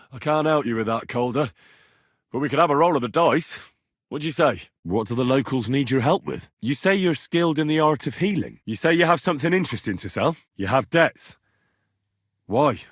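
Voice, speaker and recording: A man's voice: medium pitch, dry recording, studio quality, close to an everyday voice. A man voicing a young medieval man-at-arms in a video game, earnest, cheeky and plain-spoken, talking in a direct, inquisitive way. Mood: friendly, inviting, then businesslike, polite. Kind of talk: video game dialogue acting.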